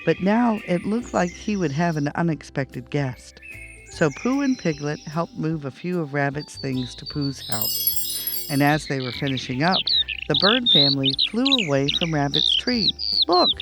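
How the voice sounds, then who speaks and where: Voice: mid-range voice, lively intonation, a little slow, loud music behind the voice. A storyteller reading a children's bedtime story aloud as narrator.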